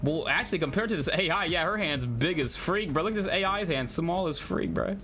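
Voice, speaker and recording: Male streamer, lively pitch swings, slangy and jokey gaming chatter, music underneath. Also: brisk. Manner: slangy, jokey, addresses chat. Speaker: livestream host reading a visual novel aloud. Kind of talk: casual gaming livestream commentary and read-aloud.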